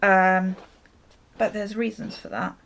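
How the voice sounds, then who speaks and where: A woman's voice: medium pitch, level tone, takes it slowly, a bit bright. A woman chatting casually to viewers as she gets ready, rambling and interrupting herself as a vlogger. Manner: rambling, self-interrupting, chuckly. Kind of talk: casual storytime chat while getting ready.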